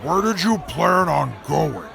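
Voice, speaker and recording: An actor playing a calm, formal male companion in an audio drama. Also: fairly high pitch, creaky, over music, a crowd in the background, heavily acted. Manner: formal, measured.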